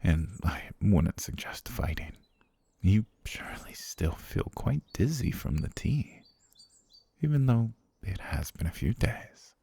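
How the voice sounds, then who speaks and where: A very deep, gravelly, breathy voice from an obsessive male butler, as a man acts him out, hushed and half-whispered right against the mic. He speaks slowly and gently, with a menacing calm. The sound is dry and close. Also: no echo, exaggerated voice. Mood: soothing possessive. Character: obsessive soft-spoken male butler kidnapper. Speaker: voice actor. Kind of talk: ASMR roleplay.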